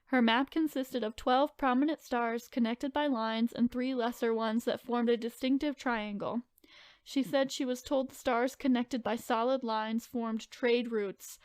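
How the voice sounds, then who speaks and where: High-voiced woman co-hosting a podcast. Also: fairly even intonation, measured pace, no echo. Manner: informal, filler-heavy, hedging retelling. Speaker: podcast co-host telling the story. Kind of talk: casual chat mixed with reading from notes.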